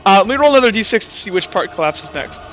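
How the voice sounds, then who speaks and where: A man's voice: high-pitched, lively intonation, quick pace, a bit bright, lo-fi sound. A man narrating a tabletop roleplaying game as game master, improvising in a casual, profane, amused way. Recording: faint music behind the voice. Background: faint music.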